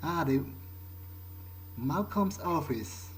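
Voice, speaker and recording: Over noticeable background ambience, a man plays a vampire musing to himself as he sneaks around in a video game. He is a non-native English speaker who talks slowly and hesitantly, muttering his thoughts with mild swearing. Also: fairly high pitch, animated, slight background noise, low-quality recording. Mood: hesitant, curious. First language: not English. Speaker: gamer playing in character. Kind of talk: in-character video game playthrough, thinking aloud.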